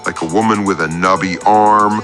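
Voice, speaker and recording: A man's voice: deep voice, steady tone, moderate pace, bright, forceful tone, faint music behind. An actor playing a needy, self-pitying man calling a radio show, with a confessional, theatrical and wordy storytelling manner. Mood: eager, fussy. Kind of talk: scripted comedic radio play monologue.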